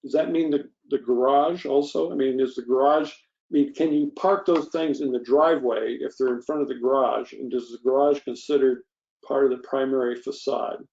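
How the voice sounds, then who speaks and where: A man's voice: mid-range voice, steady tone, moderate pace, warm tone, dull audio. A man serving as a commission member, questioning points methodically in an informal meeting discussion.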